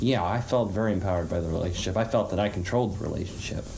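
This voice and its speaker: A man's voice: deep voice, racing, creaky, a little mellow, slight background noise, rough recording. A man giving an unscripted interview for a documentary, in conversational speech.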